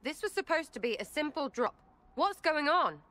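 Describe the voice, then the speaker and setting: An actress playing a blunt, irreverent young elf archer in a game cutscene, talking in a casual, clipped way. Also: female voice, high voice, sing-song, moderate pace, sharp tone, exaggerated voice. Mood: puzzled.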